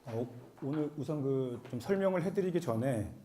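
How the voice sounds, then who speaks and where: A man with a cold giving a formal explanatory seminar presentation as an accountant, speaking politely and a bit haltingly. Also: mid-range voice, lively intonation, dark tone, a little hiss or hum. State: has a cold.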